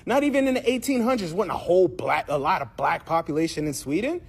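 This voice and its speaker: Husky high-voiced man, blunt commentary. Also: lively intonation, fast talker. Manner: blunt, calls listeners "bro" and "dog". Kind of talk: casual reaction commentary.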